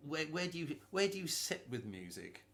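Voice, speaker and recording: A man's voice: a little high, big pitch swings, moderate pace, creaky, a bit bright, a little hiss or hum. A man hosting a casual music chat, hesitant and repeating words, laughing often. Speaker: host.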